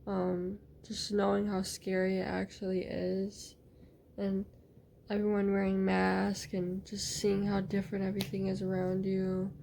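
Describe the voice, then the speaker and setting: A woman's voice: medium pitch, completely flat delivery, unhurried, vocal fry, mellow, slight background noise. A woman talking in a casual video diary, hesitant and rambling with many fillers, sometimes dropping to near-whispers. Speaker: vlogger.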